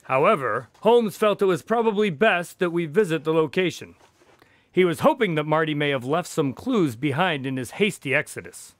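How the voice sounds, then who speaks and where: An actor playing an affable, wry doctor sidekick who tells stories in a chatty, expressive, mildly exasperated way in a radio drama. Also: male voice, fairly high pitch, moderate pace, dry recording, natural voice. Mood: genial storytelling. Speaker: narrator-character of a radio drama. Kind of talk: scripted radio drama narration and dialogue.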